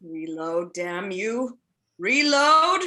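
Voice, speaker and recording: A woman's voice: medium pitch, sing-song, extremely slow, bright, forceful tone, heavily acted. A woman playing a stern, ruthless fleet commodore, cold and formal, in a script table read. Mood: furious.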